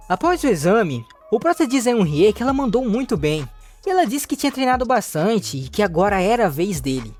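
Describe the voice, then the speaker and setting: Brazilian recap narrator with music behind. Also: mid-range voice. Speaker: narrator of a manhwa recap channel. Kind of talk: scripted story recap narration.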